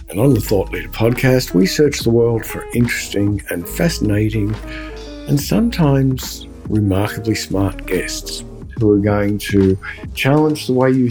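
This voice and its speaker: A man's voice: lowish voice, darkish tone. A man, co-hosting a podcast in a conversational style. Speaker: podcast co-host.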